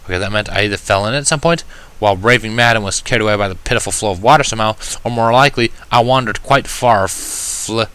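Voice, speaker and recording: A man narrating a first-person story in an audiobook style, reading in a measured, wry manner. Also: fairly low pitch, bright, forceful tone, clear, resonant tone. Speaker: fan fiction reader.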